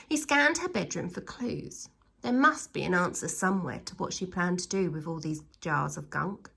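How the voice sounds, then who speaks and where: A woman reading a children's story aloud expressively, with theatrical, drawn-out emphasis on key words. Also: on the low side, vocal fry, a bit bright, slightly rough.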